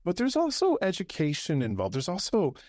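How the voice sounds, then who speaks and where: A man's voice: high-pitched, huge pitch swings, a little fast, a little mellow, dry recording, studio quality. A man hosting a casual interview, upbeat and asking friendly open questions. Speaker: podcast host.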